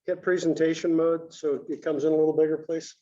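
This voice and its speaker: A man's voice: a little high, level tone, brisk, dark tone. A man chatting casually on a video call, as an attendee helping out a presenter. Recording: dull, lo-fi video call audio.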